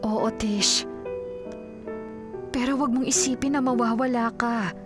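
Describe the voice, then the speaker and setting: A woman's voice: a little high, fairly even intonation, a little slow, music in the background, natural voice. An actress with a Filipino accent playing a devoted, self-sacrificing young woman in love in a radio drama. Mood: gentle, reassuring.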